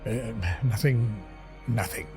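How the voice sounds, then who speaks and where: An actor playing an evasive old male wizard with a halting, paternal manner in an audio drama. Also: mid-range voice, close to an everyday voice. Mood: evasive, uneasy. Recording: faint music under the voice.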